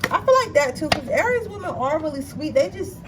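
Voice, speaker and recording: A woman's voice: high-pitched, animated, fairly quick. A woman giving a casual tarot reading, chatty and blunt, swearing freely and checking in with her viewers, her nose slightly stuffy. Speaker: tarot card reader. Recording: distant mic with noisy background.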